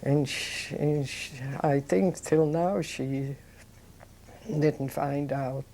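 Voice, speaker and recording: An older woman, recalling her childhood in an oral history interview, talking in a halting, reflective way with many restarts. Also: low voice, fairly even intonation, dark tone, a little hiss or hum. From Poland. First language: Yiddish. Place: indoors, seated on a sofa.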